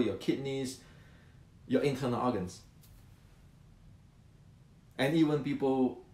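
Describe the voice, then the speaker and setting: A man in his sixties explaining martial arts to viewers, often repeating words and answering his own questions. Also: medium pitch. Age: 60s. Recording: roomy echo.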